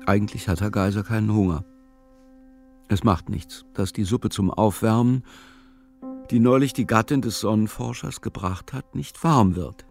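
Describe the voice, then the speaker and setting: A man reading scripted literary narration for a radio play in a measured, matter-of-fact way. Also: on the low side, creaky, darkish tone, faint music behind.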